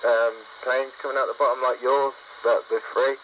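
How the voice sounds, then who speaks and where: A man talking casually and haltingly over CB radio. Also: medium pitch, level tone. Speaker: CB radio operator. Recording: muffled CB radio with heavy static.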